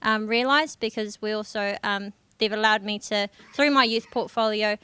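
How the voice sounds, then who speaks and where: Young New woman, smooth clear voice. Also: mid-range voice, normal speed, pushed and bright, no echo. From New Zealand. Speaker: district councillor with youth portfolio. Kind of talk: panel discussion.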